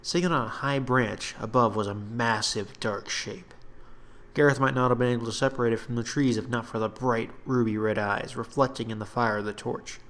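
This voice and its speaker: A man reading his own fantasy story aloud in an expressive, dramatic narration. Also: mid-range voice. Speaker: author reading own book.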